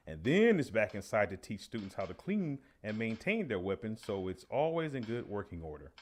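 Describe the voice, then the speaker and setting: An actor playing an earnest veteran high school teacher giving a measured, official interview in a radio play. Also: male voice, slight background noise. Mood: proud, matter-of-fact.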